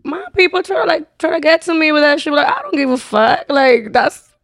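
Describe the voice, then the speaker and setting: A woman's voice: sits high, expressive, a little fast, fairly bright tone, no room sound, clean studio sound. A woman with a Dominican accent and New York touches, a Spanish speaker, chatting bluntly and jokingly on a podcast. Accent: Dominican Spanish with New York English. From Dominican Republic. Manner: blunt, joking, swears freely. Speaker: podcast co-host. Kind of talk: casual chat.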